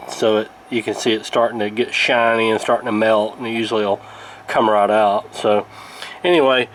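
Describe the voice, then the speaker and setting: A man's voice: lowish voice, fairly bright tone. Man explaining repairs, noisy background. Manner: halting, unscripted, explanatory. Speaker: radio repair video host. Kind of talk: casual hands-on repair tutorial. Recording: clear background noise.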